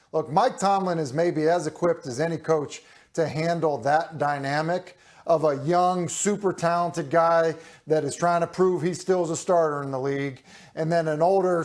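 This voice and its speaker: A man in his forties, a studio analyst, arguing his sports take deliberately on a talk show. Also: a little high, a little mellow. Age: 40s. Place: remote studio set.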